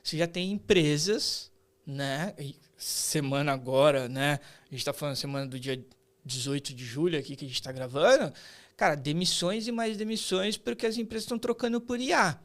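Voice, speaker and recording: Higher-pitched Brazilian man explaining emphatically. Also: moderate pace, fairly bright tone. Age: late 30s. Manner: emphatic, colloquial, asks rhetorical questions. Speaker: podcast guest, artificial intelligence expert and professor. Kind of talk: conversational explanation in an interview.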